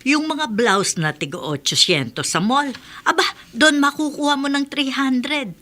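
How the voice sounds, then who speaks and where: A woman's voice: high voice, lively intonation, a little slow, bright, forceful tone, exaggerated voice. An actress playing a chatty, teasing mother in a radio drama.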